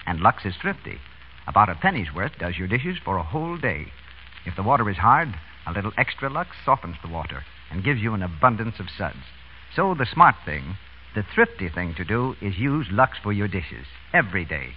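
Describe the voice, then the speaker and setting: Polished American male radio pitchman, big swooping emphasis, mid-range voice, faint hiss on old broadcast. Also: very animated, moderate pace. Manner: polished, emphatic sales delivery. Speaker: radio announcer. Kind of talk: 1930s radio announcing and advertising. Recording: dull, faintly noisy vintage radio.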